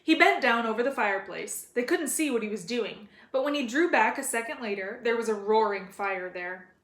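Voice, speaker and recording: Female storybook narrator, fairly high voice, read-aloud delivery with noticeable room echo. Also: lively intonation, normal speed, fairly bright tone. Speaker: reader of a children's novel. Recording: roomy echo.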